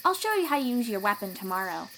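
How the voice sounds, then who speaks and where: A woman playing a young, protective sea-dweller warrior in an audio roleplay, encouraging and gently teasing as she talks straight to the listener. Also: mid-range voice, very animated, fast talker, slight background noise, poor audio. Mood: encouraging, instructive.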